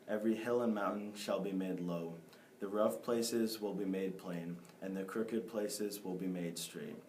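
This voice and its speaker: Teen male student reader, fairly low, roomy echo. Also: a little mellow. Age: teenager. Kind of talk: reading a famous speech aloud. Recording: echoey room, faint noise.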